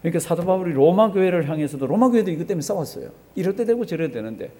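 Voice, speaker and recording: A man preaches to his congregation in a soft-edged, mellow voice that sits a little higher than average. He speaks conversationally as a pastor, posing questions to his listeners and repeating phrases to drive them home. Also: lively intonation, racing. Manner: conversational, asks the congregation rhetorical questions and has them respond. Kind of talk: sermon.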